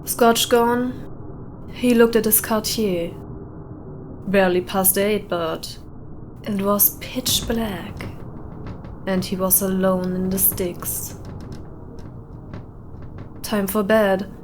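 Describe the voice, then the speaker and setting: A woman narrating a short story in a deliberate way with dramatic pauses. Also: medium pitch, steady tone. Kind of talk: scripted narration, atmospheric storytelling. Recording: windy howling ambience behind voice. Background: added wind or howling ambience.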